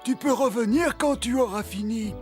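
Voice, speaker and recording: A woman voicing a shy, dreamy little vehicle who loves quiet in a children's cartoon, gentle and hesitant. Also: on the high side, moderate pace, over music, natural voice. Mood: dreamy, confiding. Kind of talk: French children's cartoon dub.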